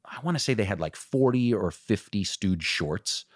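A voice actor from Toronto with an American accent, Greek his first language, chatting excitedly in an interview. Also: on the low side, normal speed, no echo, clean studio sound. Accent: General American. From Toronto, Canada. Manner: animated, excitable, with restarts and impressions. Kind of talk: casual interview chat.

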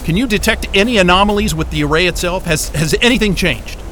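A man's voice: sits high, quick pace, a bit bright, slight background noise. A man playing an irritable, foul-mouthed astrophysicist at a polar station in a naturalistic audio drama, with a blunt, sarcastic, agitated manner. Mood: urgent, insistent.

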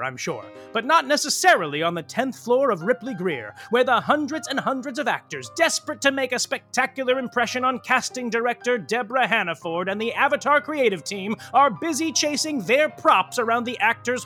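A chatty theatrical host of a comic radio play. His high voice swoops through playful, wry asides with music underneath. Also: sing-song, normal speed, pushed and bright, full character voice. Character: chatty theatrical male show host, wryly gushing. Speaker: narrator and host. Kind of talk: scripted comic radio narration.

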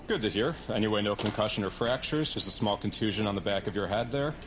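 Man playing a brisk, quick-talking doctor. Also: on the low side, noisy background, natural voice. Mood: brisk, polite. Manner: matter-of-fact. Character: brisk, courteous male hospital doctor. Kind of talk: audio drama acting.

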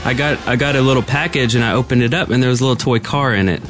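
A man co-hosting a gaming podcast in casual chat, rambling and halting, easily amused. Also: mid-range voice, fairly bright tone, soft background music. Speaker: podcast co-host.

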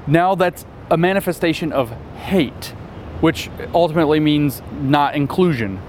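A man speaking as a guest in a roundtable discussion, sardonic and rapid-fire. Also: on the high side, a little mellow, slight background noise. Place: seated at a table in a city.

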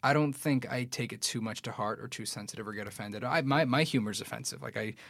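A man's voice: medium pitch, quick pace, gravelly, fairly bright tone, no echo. A young man from New York City, an actor guest on a podcast, chatting casually with lots of fillers and swearing. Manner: loose and profane, many fillers.

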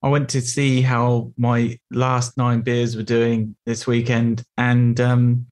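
Male guest, mid-pitched, upbeat and easygoing, pokes fun at himself. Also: monotone, slow, a little mellow. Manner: friendly, enthusiastic, self-deprecating. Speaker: podcast guest. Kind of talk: casual podcast chat.

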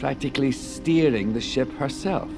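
An actor playing a commanding, visionary tech mogul in an audio drama, presenting with theatrical showmanship. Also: male voice, mid-range voice, normal speed, creaky, music in the background, natural voice. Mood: grandiose, commanding.

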